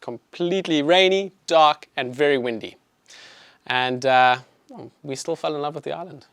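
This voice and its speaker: A man's voice: a little high, moderate pace, a bit bright, clean tone, no echo, studio quality. A man in his thirties with a South African accent, a chiropractor giving an evening health presentation to an audience in a friendly, conversational way with frequent fillers. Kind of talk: public health talk to an audience. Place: clinic presentation room.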